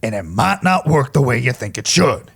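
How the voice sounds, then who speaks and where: An actor playing a stubborn, cautious male griffin in an audio drama, with a gruff, gravelly, husky voice and emphatic warnings. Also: medium pitch, very animated, normal speed, a bit bright, no room sound, full character voice. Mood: wary, insistent.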